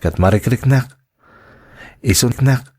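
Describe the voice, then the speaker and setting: A man's voice: mid-range voice, slow pace, a little mellow. A man playing an affectionate, earnest adult son working abroad, in a dramatic radio-style performance. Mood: reflective, earnest.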